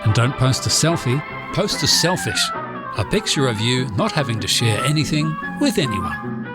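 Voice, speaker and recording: Brief: male actor as breezy radio host, medium pitch with lots of swoop, music behind, comedic and tactless. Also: big pitch swings, moderate pace, natural voice. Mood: mocking.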